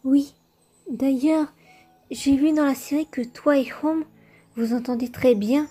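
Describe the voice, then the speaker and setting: A woman plays an earnest, curious puppet boy with a high voice. The delivery is slow and wondering, in a cartoon comic-dub style, with faint music underneath. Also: warm tone. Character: curious, easily bewildered young boy turned into a puppet. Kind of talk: amateur comic dub acting.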